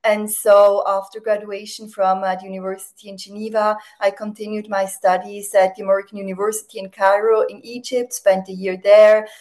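A woman from Switzerland, native German speaker, talking as a guest in a radio interview, with many "uh" and "um" pauses as she searches for words. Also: mid-range voice, flat delivery. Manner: warm-spoken, many fillers, searching for words. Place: remote, not in studio.

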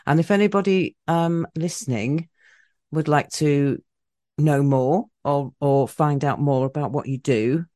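A woman's voice: low voice, unhurried, dark tone, no echo, clean studio sound. A woman hosting a radio interview in a casual, chatty way, with many fillers and restarts. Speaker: radio host.

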